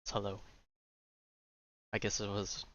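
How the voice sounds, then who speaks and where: A man's voice: fairly low pitch, completely flat delivery, unhurried, fairly bright tone, lo-fi sound, slight background noise. A young man chatting casually as he streams a game, rambling and often interrupting himself. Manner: rambling, self-interrupting, informal. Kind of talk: casual livestream chat over gameplay.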